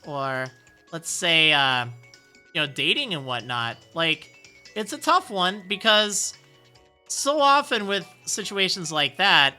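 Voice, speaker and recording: A man with a high, youthful-sounding voice streaming a game, reading viewer messages and answering with rambling encouragement. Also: bright, forceful tone, clear, resonant tone. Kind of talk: casual live-stream chat.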